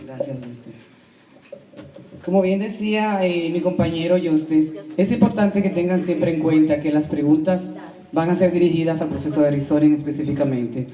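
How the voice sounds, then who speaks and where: A man's voice: fairly high pitch, a little fast, dark tone. A man addressing a public meeting as its moderator and introducing speakers to the room. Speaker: meeting moderator, coalition member. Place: large indoor community hall. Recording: echoey hall, distant microphone.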